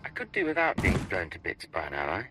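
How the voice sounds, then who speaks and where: Deep, cool male secret agent. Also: huge pitch swings, fast talker, over loud music. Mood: dry, measured. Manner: terse, understated, suave. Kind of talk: video game cutscene acting.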